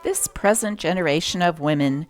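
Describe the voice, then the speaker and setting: A woman hosting a show that reads aloud from old newspapers, with an expressive, varied delivery. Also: normal speed, soft background music. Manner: expressive reading with lively pitch. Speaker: channel host reading old newspapers.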